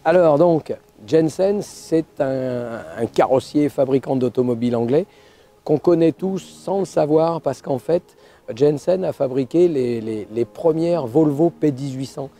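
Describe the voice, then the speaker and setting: Hoarse older man telling a story. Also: on the high side, unhurried, dark tone. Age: 60s. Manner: hesitant, many fillers and restarts. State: hoarse from laryngitis. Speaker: car show host. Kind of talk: casual storytelling to camera.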